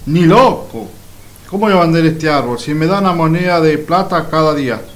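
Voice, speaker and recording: A man playing a sly, patient country trickster in a storybook radio play, bargaining deliberately. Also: fairly high pitch, natural voice. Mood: coy bargaining.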